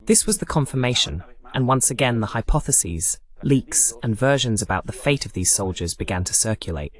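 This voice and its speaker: A man's voice: medium pitch, big pitch swings, normal speed. A man hosting a political news broadcast, giving running commentary on war footage with pointed, sardonic asides.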